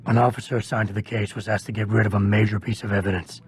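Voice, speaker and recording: A man plays a stoic security agent from a game cutscene, low in pitch. He is restrained and terse, choosing words deliberately. Clear noise sits behind the voice. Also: level tone, lightly acted. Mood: somber, restrained. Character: stoic gravelly male security agent investigating a cover-up. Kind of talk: video game cutscene acting.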